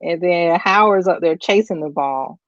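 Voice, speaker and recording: A woman's voice: lowish voice, moderate pace, no room sound, dull audio. A woman guest on a movie podcast, casually retelling film scenes with lots of "like" fillers. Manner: chatty retelling with many fillers like "like". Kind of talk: casual chat.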